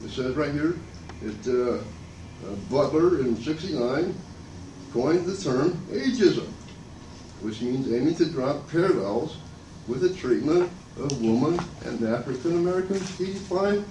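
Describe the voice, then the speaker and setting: An older man speaking loudly and abrasively in a rambling public comment at a meeting. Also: expressive, measured pace. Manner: loud and booming, abrasive, rambling and aggrieved. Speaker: member of the public giving comment. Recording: echoey room from far off, noisy.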